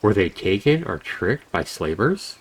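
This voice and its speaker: A man's voice: lowish voice, big pitch swings, a little slow, slightly rough, full character voice. A man voicing a cautious, grumbling young male adventurer with an exasperated manner for a story game. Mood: somber.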